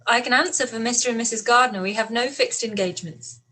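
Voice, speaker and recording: A woman playing a gracious young gentlewoman in a period radio play, composed and politely witty. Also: on the high side, quick pace. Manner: polite, composed, lightly teasing. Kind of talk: live-read period radio play acting.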